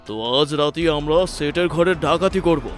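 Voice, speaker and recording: A man's voice: on the high side, moderate pace, soft background music, natural voice. A man voicing a scheming, loyal henchman thief in a cartoon, eager and reassuring. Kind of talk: cartoon voice acting.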